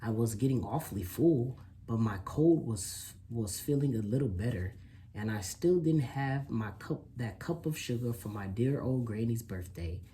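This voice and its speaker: A reader as a sly, animated storybook wolf. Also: mid-range voice, moderate pace, a little hiss or hum, natural voice. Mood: self-justifying. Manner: animated, mock-innocent storytelling. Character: sly, self-excusing wolf telling his own story. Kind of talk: children's picture book read aloud.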